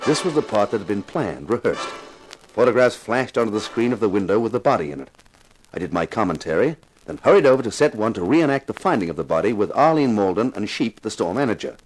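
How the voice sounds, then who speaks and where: An actor playing an intense television detective in a 1950s radio crime drama, narrating and questioning in a deliberate, insistent way. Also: male voice, on the low side, sing-song, low-quality recording. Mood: tense, confiding.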